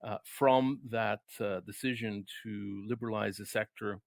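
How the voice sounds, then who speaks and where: Halting man, Spanish first language. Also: on the low side, fairly even intonation, vocal fry, no echo, studio quality. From Chile. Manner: halting, many ums and uhs, restarts often. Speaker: guest, regulatory economist. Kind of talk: interview answers.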